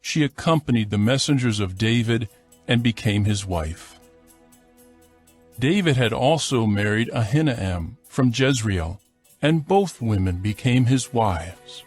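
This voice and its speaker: A male narrator reads scripture in a formal, measured way. His pitch is mid-range, and music plays softly behind him. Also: lively intonation, husky.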